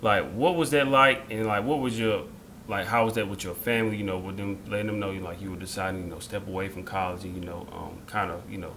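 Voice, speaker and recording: A man in his thirties hosting an interview, talking in a relaxed, conversational way that often restarts its phrases. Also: sits low, fast, slight background noise. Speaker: interview host. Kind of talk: casual interview talk.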